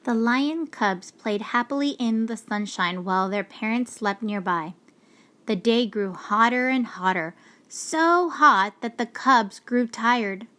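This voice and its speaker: A woman reading a children's storybook aloud with deliberate, expressive storytelling. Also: on the high side. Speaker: storybook reader. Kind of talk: children's read-aloud narration.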